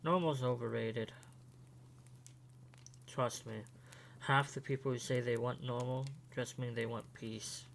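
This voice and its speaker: A man playing an earnest, guarded, quietly supportive young man in a fan audio drama, speaking in a measured way. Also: mid-range voice, fairly even intonation, gravelly. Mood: reassuring. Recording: faint hum behind the voice.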